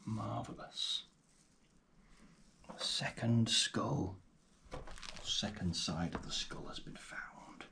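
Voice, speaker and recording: A man speaking softly and gently in an ASMR roleplay as a guide, often slipping into a breathy whisper, murmuring without hurry. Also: lowish voice, drawn-out words, long pauses, noisy background. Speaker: host playing a paleontologist guide. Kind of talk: ASMR roleplay, soft narration of a fossil dig.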